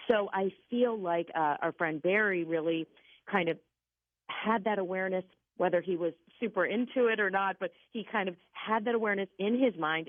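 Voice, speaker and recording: A woman's voice: mid-range voice, no room sound. Phone-line woman, reassuring psychic guest. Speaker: guest spirit medium on a radio call-in show. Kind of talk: conversational psychic reading.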